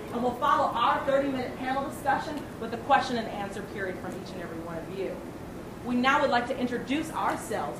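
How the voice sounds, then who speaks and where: A young woman from Northern California giving a prepared presentation to a community audience, measured with some fillers. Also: a little high. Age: young adult. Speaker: program presenter. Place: large hall with audience. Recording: echoey room, far from mic, noisy.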